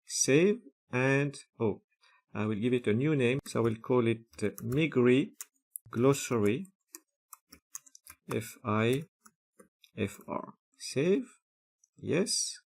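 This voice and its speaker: A man walks through a software task one click at a time, naming each step before he does it. His voice has a gravelly, creaky edge and sits at an everyday middle pitch. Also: animated, takes it slowly, long pauses. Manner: methodical, narrating each step with frequent "uh" fillers. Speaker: software tutorial presenter. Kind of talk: screen-recorded software walkthrough.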